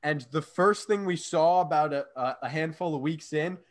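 A man's voice: a little high, normal speed. A young man from New York State talking casually in a group discussion. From New York State, Hudson Valley. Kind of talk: casual chat.

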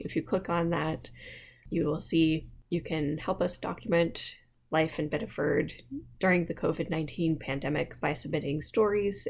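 Low-voiced American woman with gravelly fry. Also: darkish tone. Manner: friendly, chatty, with fillers and asides. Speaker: library program host. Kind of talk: casual online library talk.

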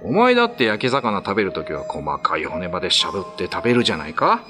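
A man's voice: sits low, big pitch swings, quick pace, music in the background, voice pushed down. A man playing a disbelieving father who scolds his children, stern and incredulous, in a radio drama. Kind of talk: radio ghost-story drama.

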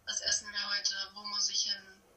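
A woman's voice: medium pitch, completely flat delivery, moderate pace, a crowd in the background. A teenage young woman from Germany chatting casually as an exchange student being interviewed, effusive and self-deprecating, over a remote call. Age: teens. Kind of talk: casual chat. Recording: echoey, distant phone or video call.

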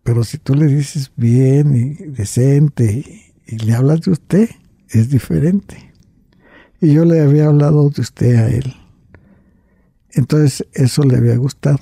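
A man's voice: mid-range voice, a little slow, gravelly, warm tone, husky, dry recording, studio quality. An older man recounting personal memories in conversation, often adding "right?" and "you see?" and restarting his phrases.

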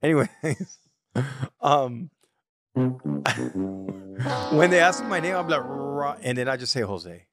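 A man's voice: mid-range voice, slow, long pauses, soft background music. A man co-hosting a podcast, a stand-up comic who tells stories in casual banter and stutters now and then. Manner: occasional stutter, animated storytelling.

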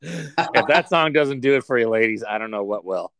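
Playful man with a lively voice. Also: medium pitch, normal speed, gravelly. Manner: playful, slips into a mock ad-announcer voice. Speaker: podcast co-host. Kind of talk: casual chat.

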